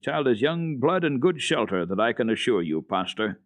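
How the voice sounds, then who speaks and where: Man reading as a stubborn, animated old mountain grandfather. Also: medium pitch, normal speed, no echo, clean studio sound, voice pushed up. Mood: proud, firm. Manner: decided, firm, blunt. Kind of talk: dramatic storybook reading.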